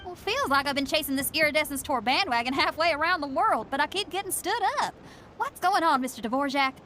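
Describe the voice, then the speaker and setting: High, bright, spirited rocker girl. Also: brisk, slight background noise. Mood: teasing, curious. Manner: energetic, breezy, confident. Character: upbeat, spirited young female rock musician, bold and friendly. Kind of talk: video game voice acting.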